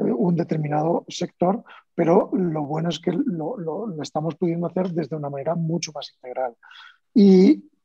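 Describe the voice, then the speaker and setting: A man's voice: on the high side, warm tone, husky, no room sound, dull audio. A man from Spain with a Spanish accent, speaking as a panelist in a panel discussion in a conversational way, with fillers and restarts.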